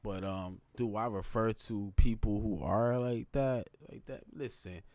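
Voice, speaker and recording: A man with a deep, low voice talking informally in a casual, rambling livestream monologue, often checking in with his listeners. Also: unhurried, vocal fry, darkish tone, dry recording. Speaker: live streamer.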